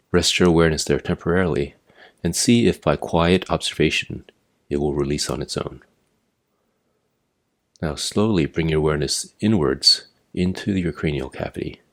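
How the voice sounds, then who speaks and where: A man narrating a guided meditation as an instructor, giving measured, step-by-step directions. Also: extremely low pitch. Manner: measured, imperative step-by-step guidance.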